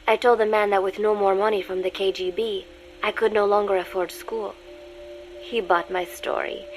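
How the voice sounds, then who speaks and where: A woman's voice: medium pitch, close to an everyday voice, rough recording. A woman playing a young spy who poses as a schoolgirl, giving a composed, contemptuous diary monologue in a game audio drama. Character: young female spy posing as schoolgirl, coldly scornful. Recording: music under the voice. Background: music.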